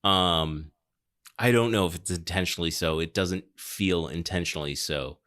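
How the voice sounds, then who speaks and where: A man's voice: low-pitched, normal speed, a bit bright. A man hosting a podcast, chatting casually about films in a wry, joking, conversational way. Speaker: podcast host. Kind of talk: casual film discussion and show announcements.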